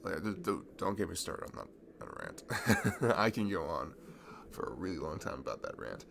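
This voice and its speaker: A man playing a friendly, nerdy young guy in a casual ASMR roleplay, chatting to a childhood best friend in a halting, self-deprecating ramble. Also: deep voice, wildly swooping pitch, creaky, a bit bright, slight background noise, heavily acted. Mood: hesitant, musing. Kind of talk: improvised-sounding ASMR roleplay, casual one-sided conversation.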